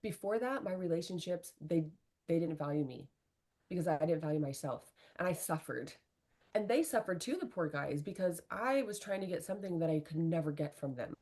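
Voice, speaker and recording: A woman's voice: on the low side, a little fast. A middle-aged woman, a relationship coach, speaking earnestly and candidly in an interview and often tagging her points with "right?". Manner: earnest, animated, fillers like "right?".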